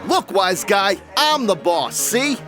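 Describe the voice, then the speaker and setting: A man's voice: high-pitched, very animated, takes it slowly, fairly bright tone, soft background music, heavily acted. A man playing a brusque, bullying bar owner with old gangster-film phrasing in a comedy radio drama. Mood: threatening. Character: gruff, bullying bar owner, wise-guy talk.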